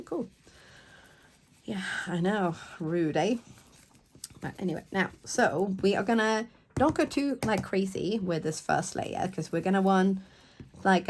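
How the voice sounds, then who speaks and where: This is a woman presenting a craft demonstration at a middling pitch. Her voice is a little croaky and congested, as she is getting over a cold and sniffs now and then. She talks casually and playfully, interrupting herself with chatty asides. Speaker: craft tutorial host.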